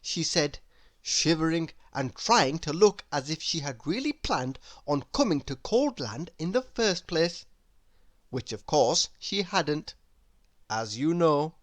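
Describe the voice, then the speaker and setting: A woman reading a children's story aloud, deliberate and expressive in her storytelling. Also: deep voice, no room sound. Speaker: storybook reader. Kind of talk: read-aloud narration for children.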